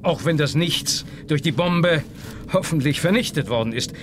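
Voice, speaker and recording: An actor playing a grave, learned scientist in a theatrical, explanatory radio play manner. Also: male voice, a little high, animated, moderate pace, natural voice. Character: grave, learned male scientist professor facing danger. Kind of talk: German children's sci-fi radio play acting. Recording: aircraft and action noise behind the voice.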